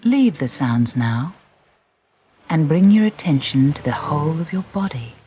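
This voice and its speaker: Slow guided relaxation voice, mid-pitched. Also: expressive, slight background noise. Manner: soothing, gentle, deliberate with long pauses. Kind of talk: guided visualisation narration. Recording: muffled recording with music behind. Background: background music.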